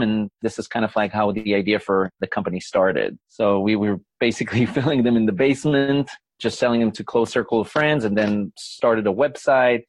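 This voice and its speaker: A man's voice: fairly low pitch, dry recording. A man, explaining things earnestly and laughing easily as an interview guest. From Israel. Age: 40s. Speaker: guest, company founder. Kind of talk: conversational interview.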